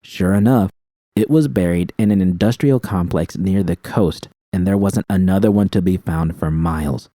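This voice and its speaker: Guy narrating an audiobook, dramatic, deep dark voice, very dry recording. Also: moderate pace, no room sound. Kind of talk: scripted first-person fiction narration.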